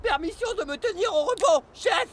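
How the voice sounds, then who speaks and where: High-pitched, nervous military underling. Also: male voice, animated, normal speed, over loud music. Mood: dutiful. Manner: deferential, military. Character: eager, nervous subordinate soldier-like football player. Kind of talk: French-dubbed children's cartoon acting.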